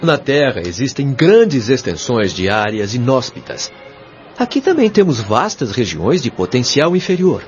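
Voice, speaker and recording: A man with a Brazilian accent acting as an earnest, patient spirit guide in an audio drama, explaining in measured, formal words. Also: mid-range voice, sing-song. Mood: earnest, explanatory. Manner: didactic, measured, formal diction. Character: male spirit guide, earnest, patient resident of heavenly colony. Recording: dull lo-fi audio with music behind.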